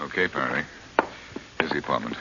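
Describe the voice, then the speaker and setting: An actor playing a confident, wry amateur detective in a 1940s radio drama. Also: male voice, very deep voice, fast talker. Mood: grim, matter-of-fact. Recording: dull, noisy old radio recording.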